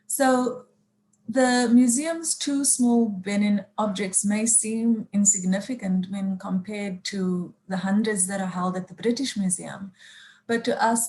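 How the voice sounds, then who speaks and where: South African woman curator, halting delivery. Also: mid-range voice, mellow. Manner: halting, many fillers and restarts. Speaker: museum curator, panelist. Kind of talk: prepared panel remarks with questions. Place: online panel event.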